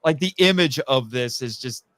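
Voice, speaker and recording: A man talking casually as a guest about his writing, telling stories in an animated way and acting out quotes. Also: mid-range voice, moderate pace, no room sound. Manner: animated storyteller, acts out quotes. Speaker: guest, audio drama writer. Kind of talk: casual chat.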